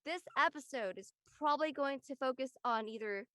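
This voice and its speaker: A woman's voice: sits high, fairly quick, fairly bright tone, dry recording, clean studio sound. A woman guest on a panel, explaining her thoughts in a casual, conversational way. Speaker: actor on a drama panel.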